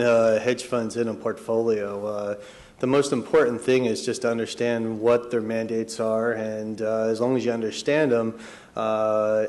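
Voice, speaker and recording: A man speaking as a panelist, halting and leaning on fillers. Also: fairly low pitch, a little mellow. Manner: halting, many "you know" fillers. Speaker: panelist at a finance conference. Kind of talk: panel discussion.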